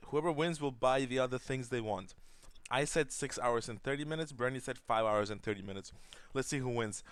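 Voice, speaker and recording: A man's voice: mid-range voice, a little fast, a bit bright, clear, resonant tone, no room sound. A young man co-hosting a casual livestream, goofy and rambling, reading out viewer questions. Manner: goofy, rambling, sings silly jingles. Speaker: livestream co-host.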